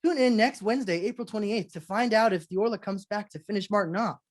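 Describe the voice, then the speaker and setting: A woman's voice: mid-range voice, fast. A woman hosting as a 1940s-style radio announcer, reading a script with theatrical showmanship. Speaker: radio show host, announcer.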